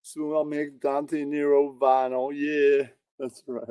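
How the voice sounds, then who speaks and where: Slow-talking man giving excitable slangy reactions. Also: a little high, clean tone, no echo. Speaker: show host reacting to clips. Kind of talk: casual reaction commentary.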